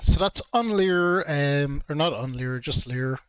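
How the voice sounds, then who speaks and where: A man reviewing whiskey in a relaxed, hesitant way, a little throaty from a cold. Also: fairly high pitch, unhurried, smooth, no room sound. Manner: relaxed, hesitant with frequent ums. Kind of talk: casual video review.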